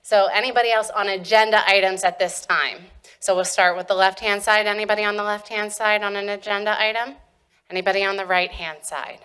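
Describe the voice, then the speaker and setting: A woman's voice: medium pitch, a bit bright, clean tone. A woman chairing a township board meeting, reading procedure politely and steering speakers with courteous apologies into a desk microphone.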